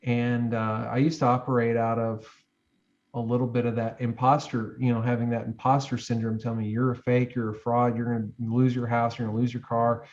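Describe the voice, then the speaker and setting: A man in his forties, speaking at a medium pitch, who rambles through reflective advice. His sentences restart often and are full of fillers. The audio is a little muffled. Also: flat delivery, a little fast, darkish tone. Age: 40s. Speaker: guest. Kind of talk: reflective interview chat.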